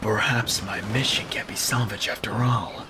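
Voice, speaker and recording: A man's voice: fairly low pitch, fairly even intonation, moderate pace, whispered, natural voice. Man voicing a brooding, solemn antihero hedgehog. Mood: grave. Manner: serious, formal, restrained. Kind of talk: scripted comic dub acting.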